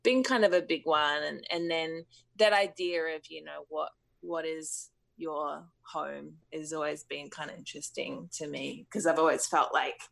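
A musician in her thirties from New Zealand talks through her answers slowly and thoughtfully. She hesitates often and fills the gaps with ums and "you know". Her voice sits fairly low. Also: fairly even intonation, creaky, fairly bright tone. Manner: thoughtful, hesitant, many fillers. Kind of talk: interview answers.

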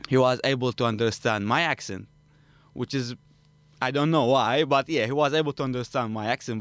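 A man's voice: medium pitch, clean tone, no echo. A man who is a native Arabic speaker, with an Arabic accent, answering conversationally as a student guest in a studio interview. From Arabic-speaking country. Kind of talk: conversational storytelling in non-native English.

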